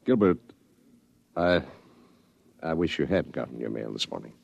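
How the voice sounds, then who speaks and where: An actor playing an awkward, regretful orchestra manager in a 1950s radio drama, speaking hesitantly and apologetically. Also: male voice, rumbling low, lively intonation, normal speed, gravelly, darkish tone, slightly rough, exaggerated voice. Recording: dull 1950s radio recording.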